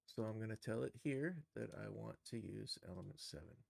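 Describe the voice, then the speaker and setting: A man's voice: on the low side, normal speed, gravelly, a little mellow, no echo, studio quality. A man casually narrating his own steps in an on-screen tutorial, thinking aloud in short muttered remarks. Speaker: game developer streaming a tutorial.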